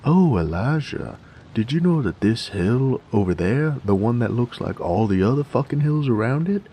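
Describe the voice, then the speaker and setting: A man's voice: mid-range voice, animated, normal speed, mellow, slight background noise, voice pushed up, lightly acted. Man putting on a raised, mocking prattler's voice. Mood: mocking, exasperated. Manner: gushing, long-winded, mocking. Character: bandit's mocking impression of a prattling rich brother.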